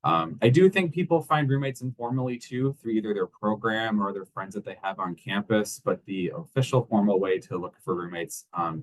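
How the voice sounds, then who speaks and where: A man's voice: sits low, poor audio. A man on a webinar panel, answering a student question in a plain, practical way. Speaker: housing staff panelist.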